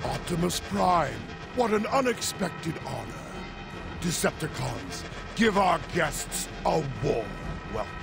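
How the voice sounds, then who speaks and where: Gravelly, menacing robot villain. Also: male voice, fairly high pitch, big pitch swings, takes it slowly, heavily acted. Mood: mocking. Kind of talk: video game voice acting. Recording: loud music and gunfire behind.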